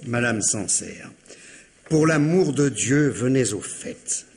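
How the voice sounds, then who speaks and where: A man's voice: mid-range voice, animated, slow, darkish tone. A man playing an impatient, sardonic police commissioner in a 1950s French radio mystery, insistent and ironic. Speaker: radio actor.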